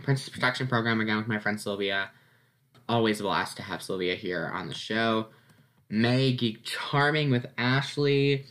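Teen guy podcaster recapping his year, kinda low voice, stuffy nose, upbeat and rambly. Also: fairly bright tone. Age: teens. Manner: chatty, upbeat, lots of ums and laughs. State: slightly congested, sniffly. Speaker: podcast host. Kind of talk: casual solo podcast recap.

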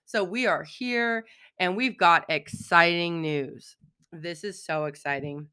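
Woman podcast host, hoarse from allergies, crisp, bright tone, casual and chatty. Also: fairly low pitch, slow pace. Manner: chatty, frequent "right?" and "um". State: hoarse, froggy voice. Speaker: podcast host, philosophical counselor. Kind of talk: solo podcast monologue.

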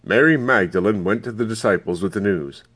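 A man's voice: fairly low pitch, fairly quick, a bit bright, slightly rough. A man reading scripture aloud as scripted narration. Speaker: Bible reader.

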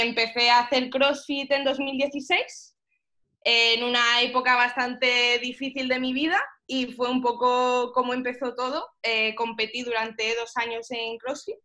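Bright, high-voiced young woman, evenly pitched. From Middle East, mostly Egypt. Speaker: powerlifting athlete and coach, podcast guest. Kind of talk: casual interview chat.

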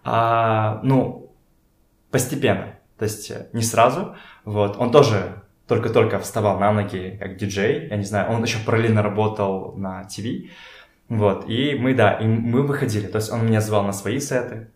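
A young man chatting casually as an interview guest, informal and halting as he searches for words. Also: fairly low pitch, steady tone. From Kazakhstan. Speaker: podcast guest, photographer and art director. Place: indoors in a home. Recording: echoey room.

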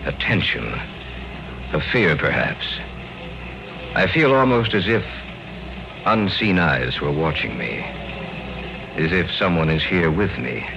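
Low-voiced husband in vintage radio horror. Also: male voice, expressive, slow pace, creaky, long pauses, heavily acted. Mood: somber, brooding. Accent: American. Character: Bill, curious, skeptical married man and lecturer. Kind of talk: 1940s-50s radio horror drama acting. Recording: muffled, noisy old-time radio recording.

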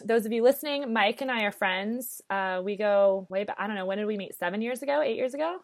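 A woman's voice: mid-range voice, quick pace. A woman in her thirties co-hosting a podcast, talking in a chatty, bubbly way with lots of casual fillers. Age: 30s. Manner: chatty, bubbly, many "like" and "you know" fillers. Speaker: podcast co-host.

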